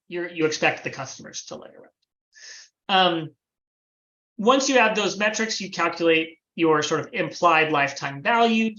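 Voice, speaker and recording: A man's voice: sits high, bright, forceful tone. Man explaining finance on a dull call. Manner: measured, explanatory, frequent "um" and "sort of". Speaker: former finance planning head, guest. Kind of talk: explaining a business model on a video call. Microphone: video call.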